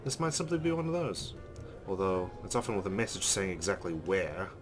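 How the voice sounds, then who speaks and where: A male let's play host with a lowish voice reads game narration aloud. He phrases it like a storyteller, with clear guitar music playing behind him. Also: big pitch swings, fairly quick. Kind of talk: reading visual novel narration aloud. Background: background music with guitar.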